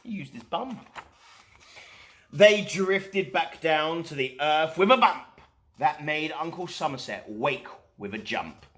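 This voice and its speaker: A man narrating a rhyming children's story as a storytime host, in an expressive, dramatic style. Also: a little high, slow.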